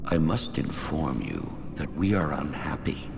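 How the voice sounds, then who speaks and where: An actor playing a stern, displeased lord of an occult council in a game, formal and grave with a gravelly voice. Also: male voice, extremely low pitch, sing-song, unhurried, full character voice. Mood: cold displeasure. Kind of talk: video game villain acting. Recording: echoing hall with background noise.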